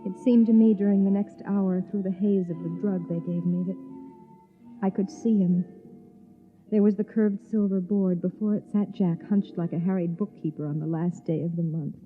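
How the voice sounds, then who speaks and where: An actress playing an anxious, stubborn young pregnant wife who also narrates, in the style of 1950s radio drama. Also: female voice, medium pitch, fairly even intonation, moderate pace, natural voice. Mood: wistful narration. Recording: tinny old radio broadcast, music behind.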